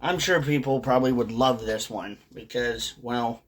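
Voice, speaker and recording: A man giving casual, rambling commentary to viewers, with drawn-out phrasing, pauses and some casual swearing. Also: mid-range voice. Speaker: video host, hobby commentator.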